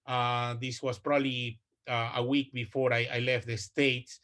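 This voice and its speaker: A man's voice: mid-range voice, level tone, a little slow, fairly bright tone. Hesitant man presenting. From Ecuador. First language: Spanish. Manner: hesitant, many fillers and restarts. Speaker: university researcher giving a talk. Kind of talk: online presentation. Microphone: video call.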